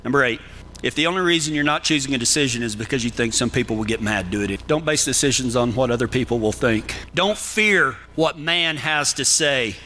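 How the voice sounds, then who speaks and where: A man's voice: medium pitch, expressive, brisk, pushed and bright, slight background noise, low-quality recording. A man with a Texan accent preaching a folksy sermon as a cowboy preacher, talking to listeners plainly and with humor. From Texas. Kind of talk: sermon with numbered tips.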